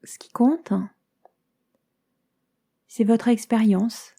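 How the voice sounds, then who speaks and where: Very mellow woman guiding meditation. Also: fairly high pitch. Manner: calm, soothing, gentle instructions with many pauses. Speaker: yoga nidra teacher guiding a relaxation session. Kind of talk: guided meditation.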